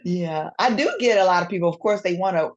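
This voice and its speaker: A woman in her thirties with a Southern US accent, a guest chatting casually on a video call from a room at home, laughing often and dropping to a soft voice. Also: on the low side, fast talker. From Atlanta, Georgia. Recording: muffled video-call audio.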